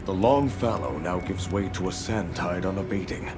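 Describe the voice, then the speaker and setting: An actor playing a grave, watchful male village guardian with formal, measured wording in a video game. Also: sits low, music in the background, close to an everyday voice. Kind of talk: video game cutscene acting.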